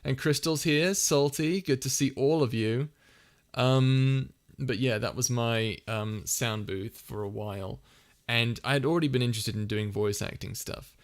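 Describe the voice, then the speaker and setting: A man with a soft, soothing voice at an everyday middle pitch chats casually on a livestream. He wanders through his answers and often stops to restart a sentence or fill a pause. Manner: rambling, full of fillers and restarts. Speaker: ASMR channel host answering viewer questions.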